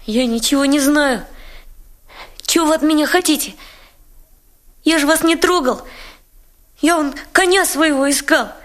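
A woman's voice: extremely high pitch, a bit bright. A woman voicing a stubborn orphan boy. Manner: sullen, evasive, plain-spoken. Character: defiant orphaned village boy, wary and stubborn. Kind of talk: radio drama acting.

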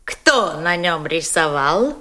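An actress voicing a cunning German woman interrogator who coaxes an orphan boy with wheedling sweetness and then presses him with sharp questions. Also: fairly low pitch, big pitch swings, slow, background noise. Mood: interrogating. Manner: wheedling, sugary coaxing that turns to sharp questioning. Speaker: actress in an audio drama. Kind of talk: dramatic radio play acting.

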